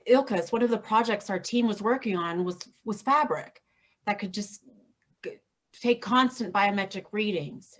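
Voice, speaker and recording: A woman's voice: mid-range voice, lightly acted. A woman playing a shrewd, wry engineer in a staged play reading. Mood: explaining.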